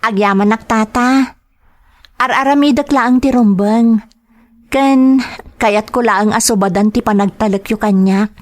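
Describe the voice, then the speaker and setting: A woman's voice: on the high side, unhurried, long pauses, natural voice. A woman playing a dutiful, affectionate young working daughter in a radio-style drama, with a Filipino accent and an earnest, respectful manner. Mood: grateful, modest. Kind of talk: Ilocano audio drama acting.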